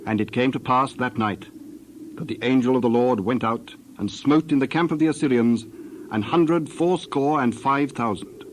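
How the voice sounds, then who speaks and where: Male narrator, medium pitch, solemn scripted scripture storytelling, audible background noise. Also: moderate pace, rough recording.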